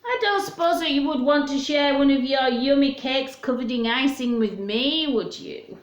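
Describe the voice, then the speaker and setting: A woman's voice: high-pitched, normal speed, roomy sound, muffled recording, close to an everyday voice. A woman voicing a polite, hopeful female fox in a storybook, coaxing for a share of cake.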